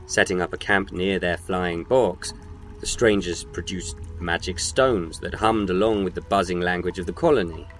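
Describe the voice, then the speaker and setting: A man with a very low voice narrates a scripted story. He is solemn and measured, and faint music plays behind him.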